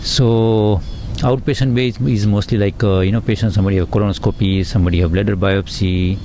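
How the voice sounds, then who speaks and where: A man's voice: lowish voice, fairly even intonation, a little fast, warm tone, a little hiss or hum. A middle-aged man with an Indian accent, a pathologist guest giving earnest explanatory answers with frequent fillers and "right, right".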